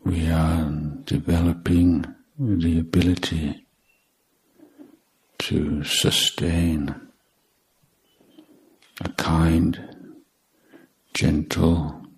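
A man guiding a meditation as a teacher, speaking in short, deliberate, measured phrases with long pauses. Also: rumbling low, flat delivery, a little mellow, rough recording. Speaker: meditation teacher, Buddhist monk. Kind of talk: guided meditation instruction.

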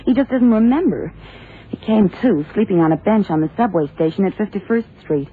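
An actress playing a detective's worried, loyal secretary in a radio drama, earnest and hesitant with a husky voice. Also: female voice, mid-range voice, lively intonation, brisk. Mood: worried, defensive. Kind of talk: 1960s-70s radio drama acting. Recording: tinny phone-like vintage radio drama.

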